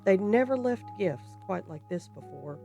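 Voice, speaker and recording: Narrator for horror fiction: dramatic, deliberate, suspenseful storytelling over a music bed. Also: medium pitch, animated, creaky, warm tone.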